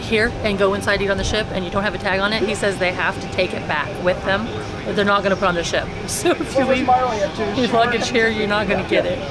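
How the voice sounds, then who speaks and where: A middle-aged woman chatting casually to viewers as a travel vlogger, in an excitable, rambling way. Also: medium pitch, quick pace. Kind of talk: casual vlog chat to viewers. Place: supermarket, parking lot, shuttle bus. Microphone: handheld camera at arm's length. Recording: noisy action camera on location.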